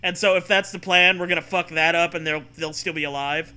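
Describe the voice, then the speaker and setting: A man co-hosting a comic story read-aloud, swearing freely and excitably between narration and casual banter. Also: a little high, brisk. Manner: profane, excitable. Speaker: co-host reading a comic story.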